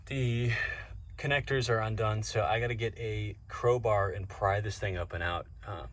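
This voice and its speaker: Male DIY vlog host, lowish voice, informal and laid-back, narrating car work over vehicle noise. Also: fairly even intonation, normal speed, fairly bright tone. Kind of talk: casual narration to camera while working. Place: in and around a vehicle. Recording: noisy car and engine ambience. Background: car and ambient noise.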